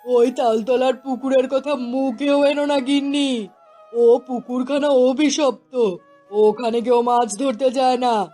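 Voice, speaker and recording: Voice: high voice, steady tone, slow, warm tone, soft background music. An actor voicing a timid, poor village fisherman husband in a cartoon story, weary and wary in manner. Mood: wary, warning. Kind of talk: Bengali cartoon story voice acting.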